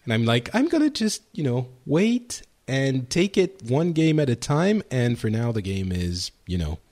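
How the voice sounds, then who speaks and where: A man's voice: mid-range voice, very animated, unhurried, a little mellow. A man co-hosting a gaming podcast in casual chat, rambling with many fillers and restarts. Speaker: podcast co-host.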